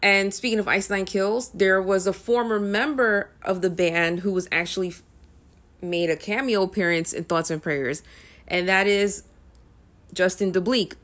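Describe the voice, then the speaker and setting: A woman's voice: mid-range voice, normal speed, a bit bright. A young woman talking casually to camera as a music vlogger, rambling with frequent ums and uhs.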